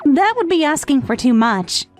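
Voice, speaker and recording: A woman's voice: high voice, sing-song, normal speed, faint music behind. A woman playing a lovestruck, brazenly submissive teenage step-sister in an anime-style romantic comedy dub. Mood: coy.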